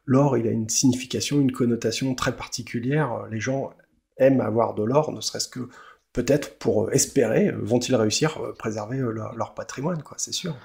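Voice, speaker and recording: A man's voice: mid-range voice, brisk, vocal fry, a little mellow. A man from France interviewing a guest, talking hesitantly with many fillers and repeated words. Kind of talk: casual interview.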